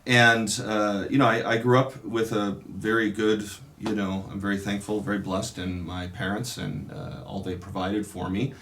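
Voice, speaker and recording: Man, priest, very low voice, casual interview, thoughtful, pausing often. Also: little pitch movement, a bit bright, slight background noise. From California. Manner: reflective, unhurried, many pauses and restarts. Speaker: Dominican priest. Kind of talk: casual interview, telling his life story.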